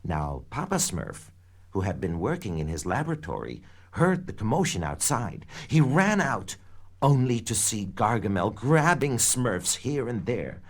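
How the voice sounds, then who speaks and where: A man narrating a scripted children's read-along story in an expressive, dramatic storytelling manner. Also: on the low side, a little slow. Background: background music.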